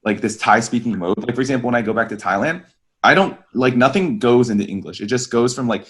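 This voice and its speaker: A man's voice: mid-range voice, expressive, fast, a bit bright. A man thinking aloud in casual group talk, searching for his words. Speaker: podcast guest. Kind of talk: casual chat.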